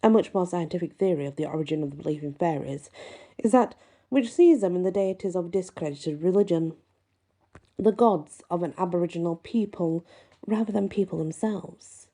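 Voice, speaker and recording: A woman host reading folklore aloud and musing on it, with deliberate, emphatic phrasing. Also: fairly low pitch, dark tone. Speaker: host and reader. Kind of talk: reading a folklore book aloud with casual asides.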